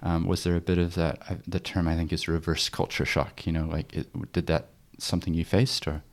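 Deep-voiced male interviewer, curious. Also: little pitch movement, fairly quick. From grew up in New Zealand. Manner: curious, encouraging questions. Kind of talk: conversational interview.

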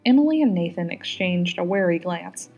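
A woman reading scripted fiction as a narrator, with an expressive delivery. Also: fairly low pitch, normal speed, husky, highs cut off, soft background music. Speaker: volunteer audiobook reader.

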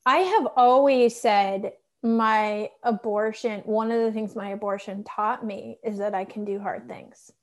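A woman's voice: medium pitch, steady tone, measured pace, hardly any pauses. A woman hosting a podcast who interviews a guest in casual chat, enthusiastic and affirming, with many small back-channel replies. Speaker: podcast host.

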